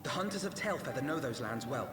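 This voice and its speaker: An actor playing a resolute adventurer in fantasy game dialogue. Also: male voice, mid-range voice, lively intonation, fast, lightly acted. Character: resolute man proposing a search in a fantasy game. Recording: distant, echoing hall, music over it.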